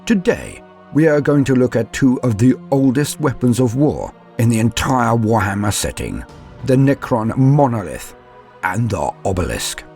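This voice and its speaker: Deep-voiced man narrating lore theatrically. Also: darkish tone. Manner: theatrical, measured, formal. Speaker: host of a Warhammer lore guide. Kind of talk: scripted dramatic lore narration.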